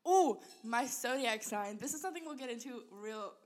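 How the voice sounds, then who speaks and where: A woman's voice: fairly high pitch, animated, moderate pace. A teenage girl from Sweden co-hosting a school podcast in a crowded classroom, rambling casually, giggling and correcting herself. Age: teens. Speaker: podcast co-host. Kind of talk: casual chat.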